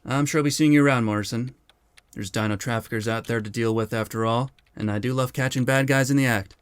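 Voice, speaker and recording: An actor plays a male FBI field chief in an audio drama. He is assured and brisk, speaking collegially at an average male pitch. The sound is dry, with little room around it. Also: fairly even intonation, smooth, no echo, lightly acted. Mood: confident, collegial.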